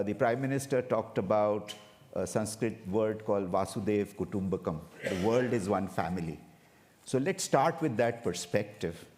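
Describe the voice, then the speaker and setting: A man from India speaking as a panelist at a conference, measured and hesitant. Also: on the low side, a little mellow.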